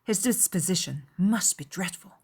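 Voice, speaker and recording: A woman voicing a spirited, easily shocked young gentlewoman in a dramatized audiobook, speaking in an emphatic, exclamatory way. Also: fairly low pitch, animated, normal speed, slightly rough.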